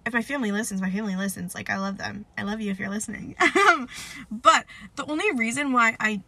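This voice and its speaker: A young woman chats on her own podcast, nasal and stuffed up from a cold. Her voice is bright and a little sharp, recorded close and dry. She rambles loosely, leaning on "like" and "um" and poking fun at herself. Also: mid-range voice, fast talker, vocal fry, husky, no echo. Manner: rambling, filler-heavy, self-deprecating. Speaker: podcast host. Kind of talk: casual solo podcast chat.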